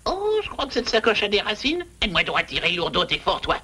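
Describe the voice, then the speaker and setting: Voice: mid-range voice, wildly swooping pitch, quick pace, sharp tone. An actor voicing a chatty, nervous little animal sidekick in a French cartoon dub, exclaiming and coaxing. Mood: curious, eager.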